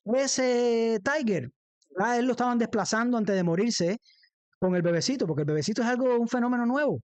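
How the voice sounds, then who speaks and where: A man's voice: extremely high pitch, brisk, a little mellow, smooth, no echo. A man with a Cuban accent speaking as a livestream host in an impassioned political monologue, emphatic and rhetorical, repeating phrases for weight. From Cuba. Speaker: livestream host, former defense lawyer.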